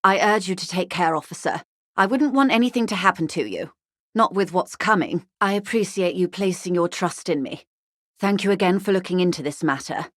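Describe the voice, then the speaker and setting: An actress playing a wealthy corporate negotiator in a video game, refined and polished, speaking with urbane, wryly courteous, measured irony. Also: female voice, lowish voice, lively intonation, dry recording, clean studio sound. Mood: grave, businesslike. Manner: urbane, measured, dryly ironic. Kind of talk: scripted game dialogue, conversational.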